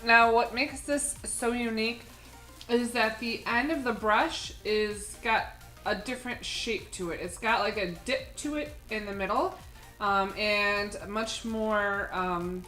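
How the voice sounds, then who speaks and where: Slightly hoarse mom reviewing beauty products. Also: female voice, mid-range voice, steady tone, slow pace, sharp tone, faint music behind. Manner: chatty, explaining with frequent fillers. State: slightly hoarse from a head cold. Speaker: beauty vlogger, mother. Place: indoor home room with string lights.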